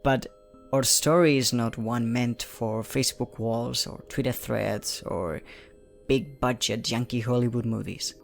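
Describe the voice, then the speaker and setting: Slow, gravelly, obsessive romantic killer. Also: male voice, mid-range voice, steady tone. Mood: sardonic. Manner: deliberate, sinister, mock-romantic. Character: obsessive romantic male killer, menacing, sardonic, intimate. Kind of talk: dramatic podcast trailer monologue. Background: faint music.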